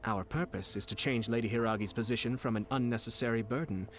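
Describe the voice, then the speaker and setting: An actor playing a calm, shrewd noble commissioner in a video game, speaking in a measured, formal, persuasive way. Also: male voice, mid-range voice, a little hiss or hum, natural voice. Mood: shrewd, composed. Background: game music.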